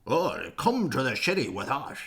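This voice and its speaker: A man's voice: medium pitch, wildly swooping pitch, normal speed, fairly bright tone, pitched above the performer's own voice. A man voicing an eager, persuasive city visitor in a storybook, speaking in an enticing, emphatic way.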